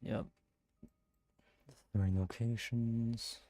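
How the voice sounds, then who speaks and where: A man with a gravelly, husky voice giving casual livestream game commentary into a close microphone. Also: mid-range voice, little pitch movement, very slow, mellow, no room sound, soft background music. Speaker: game streamer. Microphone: close streaming microphone.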